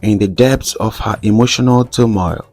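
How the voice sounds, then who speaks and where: A man narrating a scripted folktale in a measured, formal storytelling manner. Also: on the low side, a little mellow, faint music behind.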